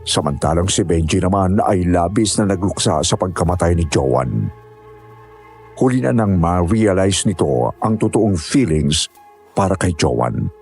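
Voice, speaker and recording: A man's voice: sits low, lively intonation, measured pace, darkish tone, faint music behind. A man reading a scripted first-person horror story as narrator.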